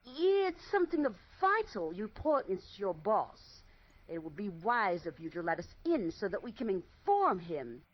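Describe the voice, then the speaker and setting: A woman's voice playing a scornful young reporter in 1940s radio style, exasperated, sarcastic and crisply spoken. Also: medium pitch, big pitch swings, moderate pace. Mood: polite, flattering. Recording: muffled, noisy audio with background ambience.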